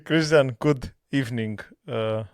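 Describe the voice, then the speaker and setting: A man's voice: mid-range voice, a little slow, over music. A man hosting a podcast, asking casual, jokey questions and often stumbling over the start of his sentences. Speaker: podcast host. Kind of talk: casual interview.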